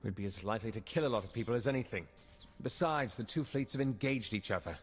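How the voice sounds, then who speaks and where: An actor playing an earnest, troubled intelligence agent in a science fiction game cutscene. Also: male voice, lowish voice, expressive, fast, breathy edge. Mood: urgent. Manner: earnest, serious. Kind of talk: video game cutscene acting. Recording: long reverb, music underneath.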